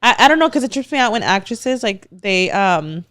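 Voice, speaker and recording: A young adult woman with a Mexican American accent from Los Angeles and a slight lisp, co-hosting a podcast in chatty, excitable casual talk. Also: medium pitch, normal speed, no room sound, clean studio sound. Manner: chatty, excitable, gasping asides and laughter. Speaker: podcast co-host. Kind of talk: casual chat.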